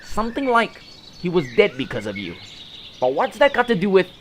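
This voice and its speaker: A man's voice: sits high, wildly swooping pitch, fairly quick. A man playing a grumbling, resentful monster henchman in an audio drama, exasperated as he talks. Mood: confused, protesting. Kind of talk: scripted audio drama acting. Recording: echoey reverberant voice, background birdsong noise. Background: birdsong, forest ambience.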